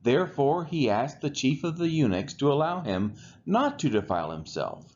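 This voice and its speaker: A man reading the Bible aloud from the text in a measured, deliberate way, phrase by phrase. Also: medium pitch, a little mellow, lo-fi sound. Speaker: scripture reader.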